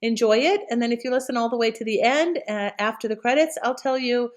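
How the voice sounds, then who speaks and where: A woman's voice: fairly high pitch, fairly quick. A woman presenter giving an informal spoken introduction to a programme.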